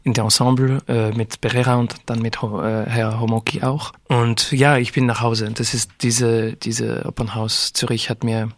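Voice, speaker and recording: A man's voice: mid-range voice, level tone, moderate pace, hardly any pauses, no room sound. A young man from France with a French accent, a tenor talking casually in German as an interview guest and searching for words. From France, raised in Geneva. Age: young adult.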